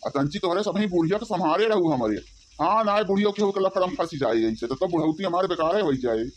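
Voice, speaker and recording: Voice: mid-range voice, expressive, fast talker, slight background noise, lightly acted. An actor voicing a grumbling, suspicious elderly village father-in-law in a comedy cartoon, rambling with a rustic Awadhi accent. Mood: gossipy, anxious. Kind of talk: comic cartoon dialogue in village dialect.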